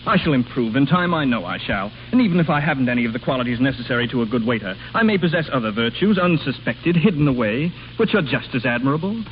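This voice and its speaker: An actor playing an earnest, clumsy American waiter in a vintage radio comedy, pleading with florid, servile politeness. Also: male voice, mid-range voice, lively intonation, fast, few pauses, lightly acted. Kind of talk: 1930s-40s radio comedy acting. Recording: dull, noisy old radio broadcast.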